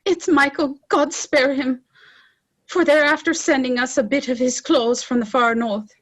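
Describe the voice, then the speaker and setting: Woman playing a high-voiced, slow-speaking sorrowful daughter. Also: fairly even intonation. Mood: pleading. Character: young Irish village daughter, sorrowful, tender and practical. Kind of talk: stage drama performance.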